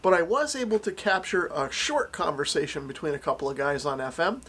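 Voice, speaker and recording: An American man with a slightly high voice presents a hands-on product demo. He explains each step clearly in an everyday reviewer's style. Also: animated, brisk, fairly bright tone. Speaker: radio reviewer and demonstrator.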